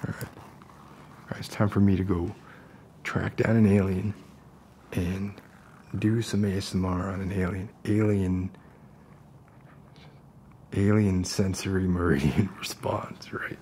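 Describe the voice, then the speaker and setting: A man in his thirties doing an ASMR roleplay as an unstable, friendly loner, soft-spoken and rambling in halting mutters. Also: low voice, little pitch movement, a little hiss or hum. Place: basement-like room with concrete walls.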